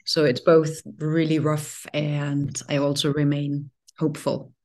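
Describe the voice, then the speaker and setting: A woman's voice: low voice, level tone, unhurried, darkish tone. A woman with a Danish accent, speaking as a podcast guest in a reflective, hesitant way. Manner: reflective, hesitant, repeats words. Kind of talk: conversational panel discussion.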